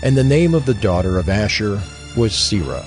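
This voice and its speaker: A man's voice: on the low side, sing-song, normal speed, soft background music. A man proclaiming scripted scripture in a formal, declamatory manner, like an official reader. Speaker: list reader.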